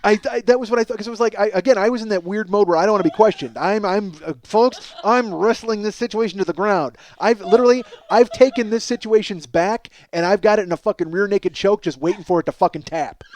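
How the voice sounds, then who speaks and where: A man with a somewhat high voice delivers a comedic podcast monologue. He talks casually and swears freely, exaggerating with animated emphasis. He acts out both halves of the conversations he recounts. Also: fast, few pauses, slight background noise. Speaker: podcast host.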